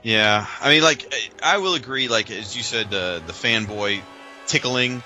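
A man co-hosting a podcast, chatting casually and informally like an animated fan. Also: on the low side, unhurried, soft background music. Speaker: podcast co-host.

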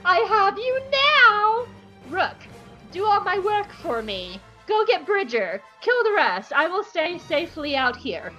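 A woman voicing a gloating, melodramatic villainess governor, crowing and bossy in a theatrical, drawn-out way. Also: very high-pitched, very animated, pushed and bright, soft background music, pitched above the performer's own voice, full character voice, rough recording. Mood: triumphant, bossy.

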